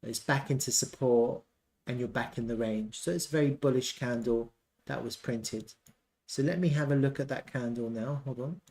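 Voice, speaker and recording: A man's voice: mid-range voice, moderate pace, darkish tone. A man hosting a live market analysis, explaining step by step and repeating key points for emphasis. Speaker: live stream host and trading educator. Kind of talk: live chart analysis commentary.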